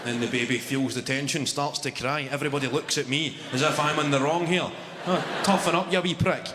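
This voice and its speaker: A man in his twenties with a Glaswegian Scottish accent, telling deadpan stories in a stand-up set, swearing freely. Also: fairly high pitch, brisk, in a large hall, very noisy. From Scotland. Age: 20s. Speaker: stand-up comedian. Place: large arena on stage. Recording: live arena with loud crowd. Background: audience laughter.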